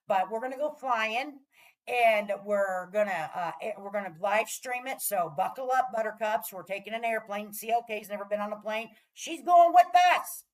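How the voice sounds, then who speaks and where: A woman hosting a casual livestream, chatting to viewers in a brash, profane way. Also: mid-range voice. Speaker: livestream host.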